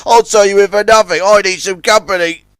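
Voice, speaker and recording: Storybook tugboat gang leader, acted by a male narrator: pushy, sneering, raised pitch, loud delivery, dry recording. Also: steady tone, brisk, clear, resonant tone, no room sound, close to an everyday voice. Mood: shaken, friendly. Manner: sneering, shouting. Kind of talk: children's storybook character voice.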